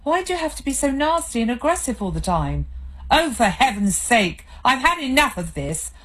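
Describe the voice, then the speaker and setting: A woman's voice: lively intonation, moderate pace, darkish tone. A woman voicing a hurt, tearful wife. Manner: reproachful, pitched high and strained.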